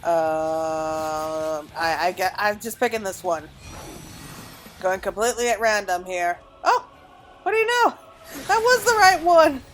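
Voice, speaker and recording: A woman's voice: medium pitch, unhurried, pauses often, a bit bright, slight background noise. A woman giving casual, chatty commentary as she plays a game, reacting aloud as she goes. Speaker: gamer recording a playthrough.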